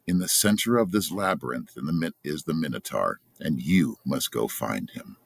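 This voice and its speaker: A gentle young storybook princess, voiced by a man with a very deep, creaky voice. The delivery is hushed and guiding. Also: animated, moderate pace, no echo, clean studio sound, full character voice.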